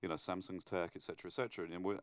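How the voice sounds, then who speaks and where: A man's voice: low-pitched, little pitch movement, racing, smooth, no echo, clean studio sound. A man moderating a conference session in an informal, conversational way, setting up the subject for his guest. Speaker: moderator, interviewer. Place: live on stage at a conference.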